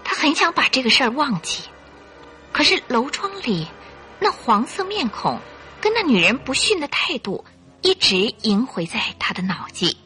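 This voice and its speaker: A woman narrating a scripted radio drama in an expressive, suspenseful storytelling style. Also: high voice, normal speed, soft background music.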